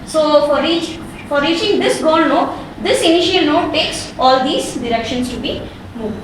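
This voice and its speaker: A woman's voice: high voice, a little slow. A woman assistant professor with an Indian accent, explaining step by step in a hesitant, repetitive way, as in a classroom. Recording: very echoey room, distant mic, noise.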